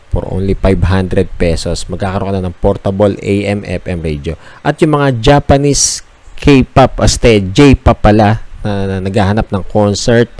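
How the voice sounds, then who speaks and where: A Filipino man narrating a casual shop tour as a vlogger, talking conversationally and pitching items like a salesman. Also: darkish tone, dry recording.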